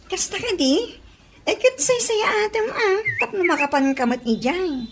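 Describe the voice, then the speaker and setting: A woman's voice: extremely high pitch, expressive, measured pace, a bit bright, exaggerated voice. A woman with a Filipino accent playing an admiring, encouraging young cousin in a radio comedy drama. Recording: clear background noise.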